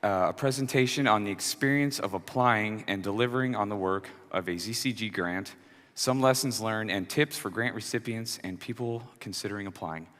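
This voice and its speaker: A man's voice: lowish voice, normal speed, a bit bright. A man hosting a conference, reading a speaker's introduction in a formal way. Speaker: conference host. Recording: long hall reverb.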